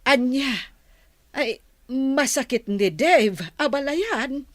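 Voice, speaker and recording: A woman's voice: high voice, expressive, takes it slowly, fairly bright tone, no room sound, clean studio sound, heavily acted. An actress playing a polite, solicitous middle-aged mother-in-law in a radio drama, exclaiming with concern. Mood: surprised, worried.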